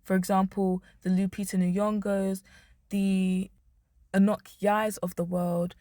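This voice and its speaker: Hoarse young woman vlogger, mellow tone. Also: medium pitch, level tone, slow pace, no room sound, clean studio sound. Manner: blunt, emphatic, lecturing. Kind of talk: opinionated talking-head commentary.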